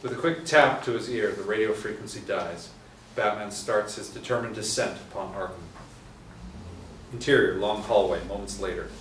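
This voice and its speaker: A man reading a screenplay's scene directions aloud at a table read, steady and measured. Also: fairly low pitch. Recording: echoey room from far off with faint noise.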